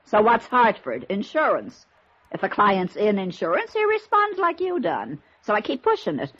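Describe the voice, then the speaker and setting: An actress playing a brash, crooked fake fortune-teller with slangy, uneducated speech in an old radio drama. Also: female voice, medium pitch, huge pitch swings, moderate pace, a bit bright, heavily acted. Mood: grudging, boastful. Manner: uneducated slangy grammar, streetwise. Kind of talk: 1950s radio drama acting. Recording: dull husky-sounding vintage radio recording.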